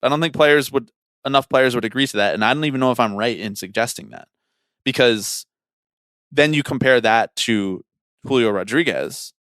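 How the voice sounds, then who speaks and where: A man's voice: mid-range voice, moderate pace, fairly bright tone, no echo, studio quality. A young man co-hosting a casual sports podcast, giving his analysis in an opinionated, emphatic way. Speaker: podcast co-host.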